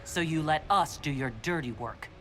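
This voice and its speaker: A man's voice: a little high, moderate pace. An actor playing a defiant, battle-hardened male leader in a video game cutscene, firm and blunt. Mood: accusing, wary. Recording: loud music over dialogue.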